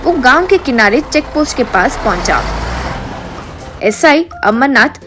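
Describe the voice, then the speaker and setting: A woman narrates a scripted comic moral story. Her voice sits a little high. Soft music plays behind her. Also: very animated, a little slow.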